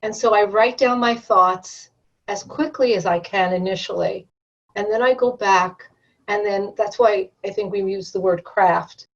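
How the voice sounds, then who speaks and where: A woman's voice: medium pitch, measured pace, distant mic. A woman guest on an education podcast shares her thoughts in a thoughtful, anecdotal way. She sounds set back from the mic. The audio is dull and lo-fi. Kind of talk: podcast interview.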